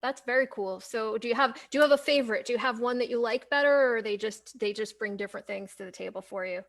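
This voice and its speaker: Higher-pitched woman hosting a casual interview. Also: creaky. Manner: informal, halting, repeats words while forming questions. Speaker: interviewer, host. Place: live online video conversation.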